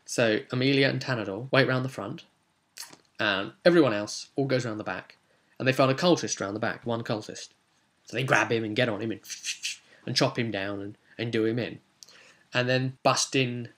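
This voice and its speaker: A man's voice: medium pitch, a bit bright. A man with a British accent casually recounting a tabletop role-playing session as game master, chatty and explanatory, with asides to the viewer.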